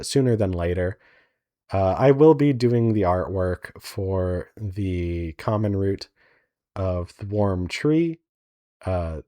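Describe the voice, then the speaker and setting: A man's voice: low voice, dark tone, dry recording, clean studio sound. A man chatting casually and unscripted as an indie developer giving an update, rambling and thinking aloud as he goes. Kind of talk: casual unscripted devlog chat.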